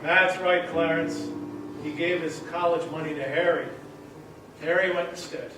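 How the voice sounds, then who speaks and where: A man's voice: a little high, fairly even intonation, measured pace, over music, lightly acted. An actor playing a patient male storyteller, narrating in an old-time radio play. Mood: explaining. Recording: echoey theater, distant mic, faint noise.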